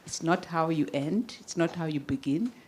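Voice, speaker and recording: South african lady, low voice, cheering people on at a ceremony, bit of background noise. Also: animated, moderate pace, a little mellow. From Eastern Cape, South Africa. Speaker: presenter giving thanks and honours. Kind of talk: unscripted ceremony speech. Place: live event venue. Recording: clearly noisy live event recording.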